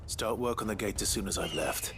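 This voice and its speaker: Stoic video game warrior, really low voice, grave and short-spoken, big music behind. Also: male voice, fairly quick, gravelly. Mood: stern, resolute. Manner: terse, grave, commanding. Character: stoic, resolute warrior hero, adult man. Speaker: voice actor as game protagonist. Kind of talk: dramatic game acting. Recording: loud music under the voice.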